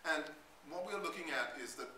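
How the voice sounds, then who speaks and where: A man's voice: fairly high pitch, lively intonation, moderate pace, sharp tone, a little hiss or hum. Trinidadian man lecturing in an echoey hall. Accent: Trinidadian, somewhat Americanized. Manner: thoughtful, with frequent ums and restarts. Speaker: professor giving a lecture. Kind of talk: academic lecture. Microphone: podium mic, recorded from a far camera. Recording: echoey hall, far from the mic.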